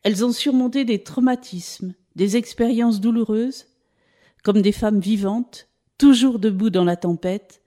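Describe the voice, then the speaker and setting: A woman's voice: fairly high pitch, unhurried, warm tone. A woman narrator delivering scripted, reflective commentary.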